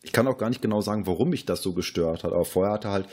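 A man's voice: on the low side, racing, a little mellow, husky. A man co-hosting a podcast, talking casually about films. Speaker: podcast co-host. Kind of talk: casual film discussion.

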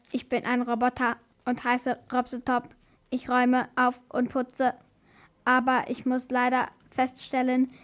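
A female performer playing a stern, matter-of-fact household robot in a children's radio play, instructing in a deliberate way. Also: fairly high pitch, natural voice. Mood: firm, matter-of-fact.

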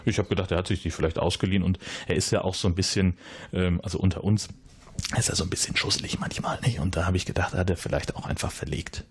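A man's voice: fast, slightly rough, dry recording. A man playing a nervous, evasive base resident who rambles with many hesitations and fillers in an audio drama. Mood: flustered. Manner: rambling, hesitant, many fillers, jumps up in pitch when flustered.

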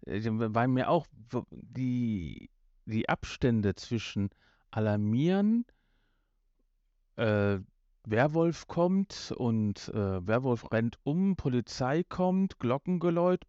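A man's voice: medium pitch, animated, slow pace, darkish tone, no room sound, muffled recording. A man chatting as a podcast co-host in a colloquial, conversational way. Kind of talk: casual chat, chipping in with comments.

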